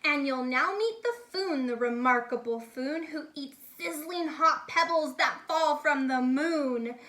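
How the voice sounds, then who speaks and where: A woman's voice: sits high, measured pace, a bit bright. A woman voicing a boastful, eager young boy who plays circus showman in a rhyming storybook, with grandiose singsong delivery. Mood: proud, showy. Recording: echoey, reverberant room sound.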